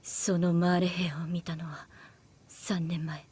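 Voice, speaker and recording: An actress playing a shrewd, languid young woman soldier in anime, calm and teasing, with a soft, unhurried, analytical way of speaking. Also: lowish voice, breathy edge, full character voice. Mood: subdued, serious. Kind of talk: Japanese anime voice acting. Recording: dialogue over background music.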